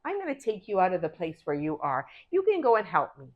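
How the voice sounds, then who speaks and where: Woman reading as a kindly prison boss, plain halting talk, storybook. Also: fairly low pitch, wildly swooping pitch, highs cut off. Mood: offhand. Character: kindly man in charge of a prison.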